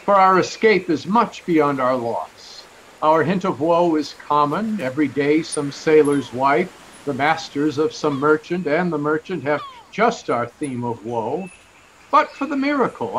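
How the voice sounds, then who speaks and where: A man's voice: a little high, lively intonation, measured pace, a bit bright, a little hiss or hum, low-quality recording. A man playing a kindly, optimistic old courtier, courteous and persuasive, in a Shakespearean audio drama. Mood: consoling.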